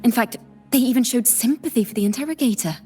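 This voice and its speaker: Thoughtful young bard, lightly high voice. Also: female voice, warm tone, slightly rough. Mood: inquisitive, reasoning. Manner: measured, reflective. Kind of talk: video game voice acting. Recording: music behind the voice.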